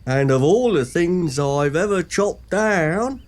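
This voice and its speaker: An actor playing a politely earnest former warlord being interviewed in a comic radio pantomime. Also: male voice, high-pitched, animated, a little mellow. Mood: earnest menace. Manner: deliberate, halting, emphatic.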